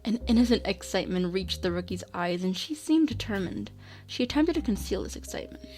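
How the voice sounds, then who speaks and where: A woman's voice: medium pitch, lively intonation, brisk, a little mellow. A woman narrating a scripted radio drama as storyteller.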